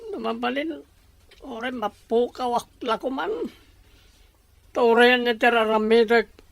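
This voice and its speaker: A woman's voice: fairly high pitch, fairly even intonation, unhurried, dry recording. A woman acting a heartbroken, despairing young son in a Filipino audio drama. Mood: heartbroken, bitter.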